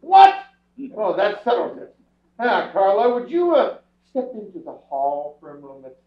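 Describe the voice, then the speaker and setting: A blustery, exasperated head of a rich household in an old radio comedy, played by a man. He is curt and emphatic in a high-pitched voice, recorded from far off in an echoey hall. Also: huge pitch swings, unhurried, exaggerated voice. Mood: grimly determined. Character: blustery, exasperated, broke rich family father. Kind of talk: staged old-time radio comedy acting. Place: indoor theatre stage, distant camera. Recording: distant, echoey, dull theatre stage recording.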